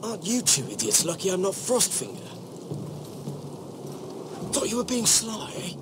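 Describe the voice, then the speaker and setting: Brash troublemaker ranger for a fantasy game: goading, foul-mouthed, very animated, heavy storm noise behind. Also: male voice, high voice, normal speed. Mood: cocky, goading. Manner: coarse, swearing, goading. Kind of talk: video game drama acting. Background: rain, night ambience.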